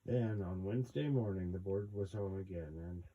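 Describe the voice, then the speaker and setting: A man's voice: sits low, normal speed, muffled recording. A man reading a storybook aloud casually, wandering off into wry asides of his own. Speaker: host reading a children's book aloud with commentary.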